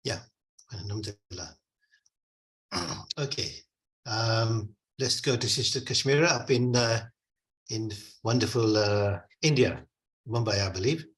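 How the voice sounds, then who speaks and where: Male online tutor, headset on a call, gentle encouraging manner, pauses often. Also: on the low side. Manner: patient, encouraging, hesitant with fillers. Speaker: Arabic teacher. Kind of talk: online class teaching.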